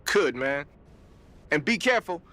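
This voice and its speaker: High-voiced, slangy weapons expert. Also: male voice, big pitch swings, a little slow, bright, forceful tone, full character voice. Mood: casual, instructive. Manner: casual, colloquial, streetwise. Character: upbeat, slangy male weapons tech expert. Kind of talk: video game mission briefing.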